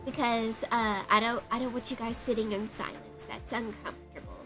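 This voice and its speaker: A woman's voice: on the high side, fairly even intonation, moderate pace. A young woman with a stuffed-up, sniffly nose, chatting giggly and apologetic while streaming her art. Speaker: art livestreamer. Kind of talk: casual live-stream chat. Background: faint background music.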